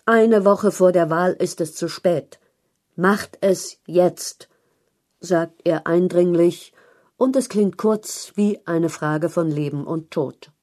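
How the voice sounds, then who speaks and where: Woman, low-pitched, soft mellow tone, scripted journalistic narration, measured, articulate. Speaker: narrator reading a news feature article.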